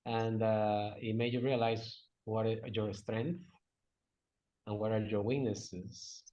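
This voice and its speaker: A man's voice: on the low side, steady tone, takes it slowly, mellow. A man from Venezuela, a native Spanish speaker, answering interview questions in English. He speaks hesitantly, with fillers, restarts and slips in grammar. The recording sounds dull and lo-fi. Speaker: guest.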